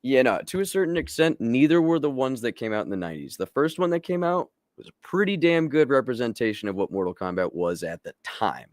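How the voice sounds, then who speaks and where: A man's voice: mid-range voice, lively intonation, fairly quick, few pauses, no room sound, studio quality. A man co-hosting a casual live movie podcast, talking like a nerdy fan who swears freely.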